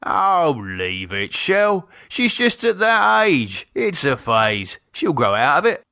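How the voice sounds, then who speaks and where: A man performs a cheeky middle-aged British landlord and dad in an audiobook. He banters bluntly and loudly, pitched up and swinging widely, with a very dry, close sound. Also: very animated, slow, gravelly, no echo, heavily acted. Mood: dismissive, puzzled. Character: cheeky middle-aged British pub landlord, teasing, blustering husband and father.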